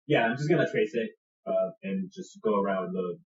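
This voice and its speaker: A man's voice: lowish voice, animated, normal speed. A man taking part as a player in a casual tabletop roleplaying session. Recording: roomy, dull lo-fi sound.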